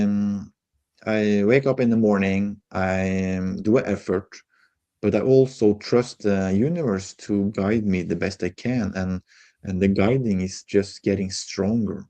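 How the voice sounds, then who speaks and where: A middle-aged man from Norway with a Norwegian accent, a guest speaking reflectively in an interview, halting and restarting often. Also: on the low side. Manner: halting, restarts often, soft-spoken, chuckles. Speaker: guest, healer.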